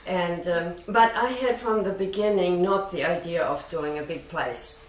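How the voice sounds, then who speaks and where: An elderly woman, a printmaker recalling her work as an interview guest in a halting, reflective, plain-spoken way. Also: on the low side. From born in Germany, raised in Japan. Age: 70s or older. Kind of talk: unscripted personal recollection. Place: print studio workshop. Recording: echoey, distant room with faint noise.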